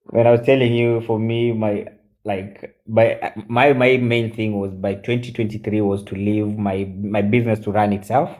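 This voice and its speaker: A man in his forties, chatting on a live stream as a guest, halting with many fillers and switching into Swahili. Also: lowish voice, flat delivery, darkish tone. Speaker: guest mentor. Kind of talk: casual live-stream chat.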